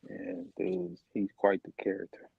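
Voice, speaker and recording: A man's voice: low-pitched, sing-song, creaky, husky, no room sound, narrow, tinny audio. A man co-hosting a casual reaction chat, laughing often and drawing out his words. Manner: laughs often, drawn-out talk. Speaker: co-host reacting to clips.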